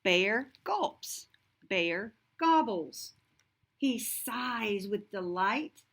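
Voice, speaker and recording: A woman reading a rhyming picture book aloud to children, expressive and deliberate, with a sing-song lilt. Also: mid-range voice. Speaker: storybook reader. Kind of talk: scripted children's read-aloud narration.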